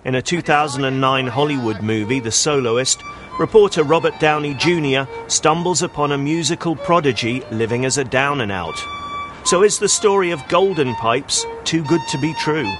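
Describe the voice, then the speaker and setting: A man's voice: fairly high pitch, expressive, moderate pace, music in the background. A British man reading a wry, tongue-in-cheek scripted news report.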